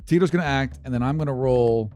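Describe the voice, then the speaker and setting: Guy being the game master on an actual play podcast, medium pitch, casual rules talk, soft music behind. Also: quick pace.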